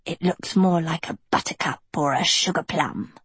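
Woman putting on a creepy mean witch girl, cold wheezy mocking, low husky storybook villain. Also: expressive, normal speed, fairly bright tone, no echo, exaggerated voice. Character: creepy, menacing albino teenage witch girl.

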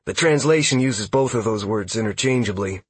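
A man's voice: fairly low pitch, normal speed, husky, no echo. A man voicing a nervous, eager male elf scout with a hesitant, apologetic manner, as in an audiobook. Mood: confident, informative. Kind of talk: audiobook character dialogue.

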